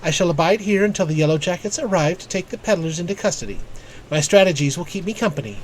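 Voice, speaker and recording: A man's voice: high voice, lively intonation, quick pace, slight background noise, low-quality recording. A man voicing an analytical guild tactician from a game, reading the dialogue in a formal, precise, lecturing way. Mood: instructive, measured.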